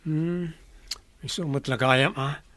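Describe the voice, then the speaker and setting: A man's voice: fairly high pitch, steady tone, slow pace, fairly bright tone, no echo. A man acting an earnest, respectful young man in an Ilocano radio drama. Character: earnest young man devoted to his girlfriend, respectful to elders.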